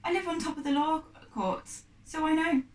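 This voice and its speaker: A woman's voice: high-pitched, fairly even intonation, normal speed, voice pushed up, close to an everyday voice. A woman voicing a blunt, fearless, streetwise boy who is proud and dismissive, in a storybook reading. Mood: matter-of-fact boasting. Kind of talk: storybook character dialogue. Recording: echoey room, distant mic.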